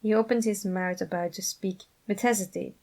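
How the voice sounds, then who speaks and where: A woman's voice: medium pitch, steady tone, normal speed, a little mellow. A woman reading scripted story narration as an amateur narrator.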